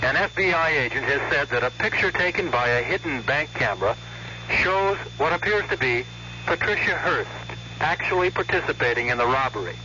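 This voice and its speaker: An American male correspondent files a scripted report in a very bright, hard-edged voice. The 1970s radio feed is dull and slightly noisy. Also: fairly high pitch, expressive, brisk.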